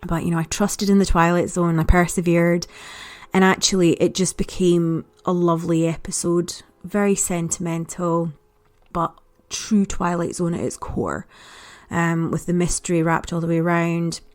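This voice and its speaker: A woman's voice: lowish voice, steady tone, normal speed, darkish tone. A woman giving a casual spoken review as a podcast listener. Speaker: podcast listener sending in comments.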